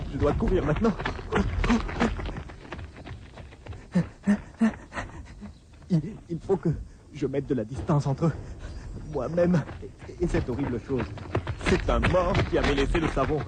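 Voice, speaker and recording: A man's voice: fairly high pitch, normal speed, close to an everyday voice. An actor playing a frightened man hunted by an undead creature in a radio drama, anxious, stammering and repeating himself. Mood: shaken, fearful. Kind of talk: radio horror drama acting. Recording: clearly noisy radio drama track.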